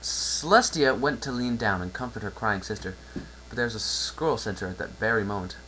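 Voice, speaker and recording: A man's voice: lowish voice, normal speed, pushed and bright, poor audio. A man narrating a story aloud as a dramatic amateur reading. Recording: faint background noise and hum.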